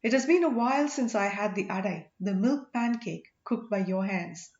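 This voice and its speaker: A woman's voice: medium pitch, moderate pace, darkish tone, natural voice. A woman voicing a loyal, teasing boatman husband in an audiobook, playful and earnest. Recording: echoey room, dull lo-fi sound.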